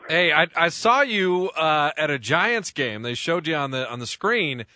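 A man's voice: fairly high pitch, lively intonation, a little slow, pushed and bright, no echo. A man hosting a sports radio interview in a studio, chatty and joking with lots of fillers.

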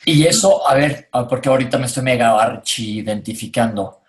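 A man's voice: medium pitch, brisk. A man with a Mexican accent co-hosting a casual conversation, speaking in an informal, colloquial and relatable way. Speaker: co-host.

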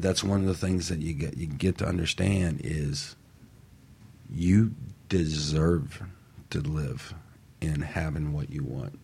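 A man co-hosting a motivational talk radio show, speaking in an emphatic, encouraging way with a husky voice. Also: rumbling low, a little mellow.

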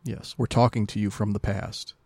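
A man's voice: fairly low pitch, level tone, normal speed, gravelly, a little mellow, no room sound. A man co-hosting a podcast with casual, wry, joking banter. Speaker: podcast co-host.

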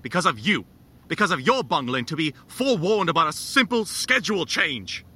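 An impatient, scornful conspirator in a period radio play. His rough voice is extremely bright and hard, leaping up and down as he confronts and accuses. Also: a little high, very animated, moderate pace, exaggerated voice. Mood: accusing. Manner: sharp, confrontational. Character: tense, accusing male conspirator, impatient and contemptuous. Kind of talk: audio drama acting, period American.

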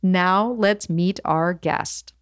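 A woman's voice: fairly low pitch, fairly even intonation, extremely slow, no room sound, studio quality. A woman from California hosting a podcast interview, upbeat and chatty with some fillers. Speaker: podcast host.

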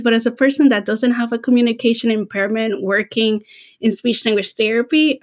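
Thoughtful woman guest. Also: mid-range voice. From Puerto Rico. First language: Spanish. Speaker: research professor, podcast guest. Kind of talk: reflective conversational interview answer.

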